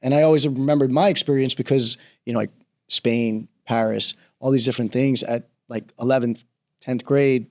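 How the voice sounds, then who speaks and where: A middle-aged man from the Bahamas, a real estate broker chatting as a guest in an interview, telling stories in a relaxed, rambling way. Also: medium pitch, normal speed. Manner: relaxed, rambling storyteller, laughs easily. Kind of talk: casual interview conversation.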